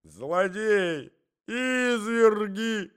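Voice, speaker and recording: A man's voice: sits high, animated, extremely slow, fairly bright tone, clean tone, voice pushed up, exaggerated voice. A man voicing a melodramatic young lady in a story, wailing and exclaiming as if about to swoon. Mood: hysterical. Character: hysterical, melodramatic young lady doting on lapdog.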